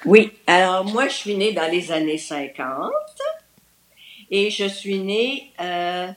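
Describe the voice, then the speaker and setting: A woman's voice: fairly low pitch, lively intonation, slow pace, a little hiss or hum. An older woman from New Brunswick with a Québécois French accent, a cheerful and talkative interview guest. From New Brunswick, Canada. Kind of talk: casual remote interview.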